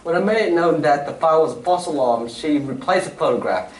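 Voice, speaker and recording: A man playing a confident Victorian gentleman detective in a radio drama read on stage, with formal, old-fashioned phrasing. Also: fairly high pitch, expressive, brisk, close to an everyday voice. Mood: assured, explanatory. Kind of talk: 1940s-style radio drama stage acting. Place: community theatre stage reading. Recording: echoey hall, distant mic, faint noise.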